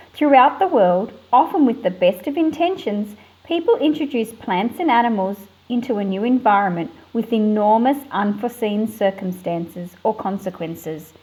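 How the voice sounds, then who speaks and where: Female picture book reader. Medium pitch, measured narration with clear explanatory intent. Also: warm tone, low-quality recording. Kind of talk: read-aloud narration with short explanatory asides.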